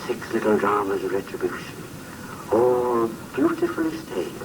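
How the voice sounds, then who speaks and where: Low, menacing ex-convict played by a man. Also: fairly even intonation, close to an everyday voice. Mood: brooding menace. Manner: deliberate, darkly joking. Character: vengeful ex-convict forger, menacing and theatrical. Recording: distant, noisy old film soundtrack.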